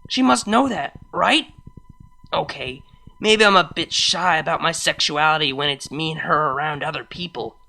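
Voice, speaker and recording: A reader as young pony mare DJ, anxious, lovestruck, self-doubting, creaky bright voice, introspective storybook monologue. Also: medium pitch, moderate pace. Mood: tender, doubting. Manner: introspective, hesitant, emotional monologue. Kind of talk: first-person dramatic fan fiction reading.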